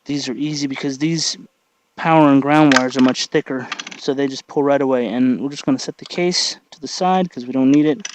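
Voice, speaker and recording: A man narrating a casual hands-on tutorial, thinking aloud in a halting way as he works. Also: medium pitch, fairly even intonation, a little hiss or hum. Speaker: tutorial host.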